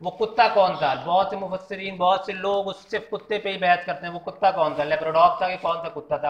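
High, bright-voiced preacher man, echoey. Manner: explanatory, repeats key lessons, asks rhetorical questions. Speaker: Islamic preacher. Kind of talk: informal religious talk on a Quran story. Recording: echoey, dull lo-fi room sound.

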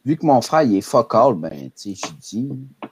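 A man's voice: mid-range voice, sing-song, moderate pace. A young man with a Quebec French accent chatting casually and emphatically, repeating himself and swearing now and then. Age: young adult. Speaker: podcast panelist. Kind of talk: casual group chat. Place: small room at home, video call. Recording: noisy video-call audio with clicks.

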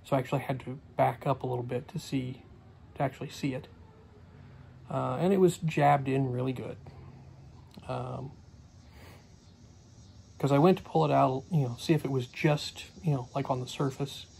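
A man's voice: mid-range voice, fairly even intonation, normal speed, vocal fry, long pauses, darkish tone, a little hiss or hum. A middle-aged man giving a casual daily vlog recap, rambling with many fillers, recorded on a phone camera close to his face in a small cluttered room. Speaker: homestead vlogger.

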